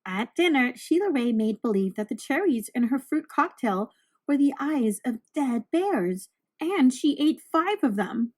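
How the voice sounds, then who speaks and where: A woman reading a children's storybook aloud, narrating with deliberate, expressive phrasing for young listeners. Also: sits high, husky.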